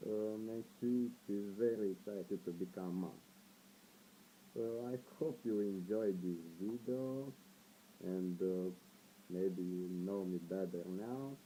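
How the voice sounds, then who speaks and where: A shy seventeen-year-old boy with a Romanian accent, introducing himself in a hesitant video blog full of pauses and fillers. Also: fairly low pitch, dark tone, slight background noise, low-quality recording. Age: teens. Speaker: vlogger.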